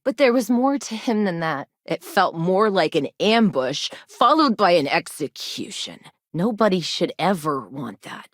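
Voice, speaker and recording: Earnest, troubled woman, animated pitch. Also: a little slow, dry recording, clean studio sound. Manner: sincere, hesitant, emotional. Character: earnest, loyal company employee woman, soft-hearted and troubled. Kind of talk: video game character dialogue.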